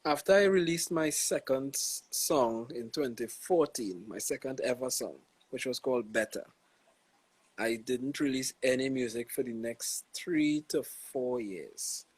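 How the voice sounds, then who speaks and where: Husky young Trinidadian man, laid-back. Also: medium pitch, a little slow, dry recording, low-quality recording. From Trinidad and Tobago. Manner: laid-back, reflective, slangy with many "you know"s. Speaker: gospel artist guest. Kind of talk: casual interview over a live stream.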